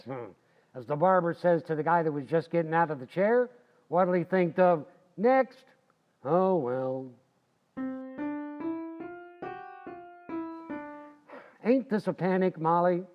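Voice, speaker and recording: An actor as a cocky, stubborn husband in a vintage-style radio comedy. His voice sits high for a man, and he grumbles and blusters in folksy slang. The recording is a little muffled. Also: unhurried, natural voice. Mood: wry. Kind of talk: old-time radio comedy acting.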